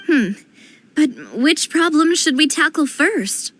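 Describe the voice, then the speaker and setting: A young woman playing a cheerful, talkative young noblewoman, chatty and quick to react, in game dialogue. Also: high voice, expressive, fairly bright tone, slight background noise, full character voice. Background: game music.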